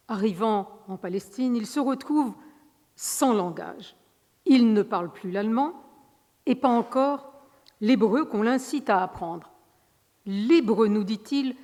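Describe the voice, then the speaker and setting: A middle-aged woman giving an academic lecture, reading from notes in a measured, slightly hesitant way. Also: fairly high pitch, darkish tone. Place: large lecture hall. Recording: reverberant lecture hall.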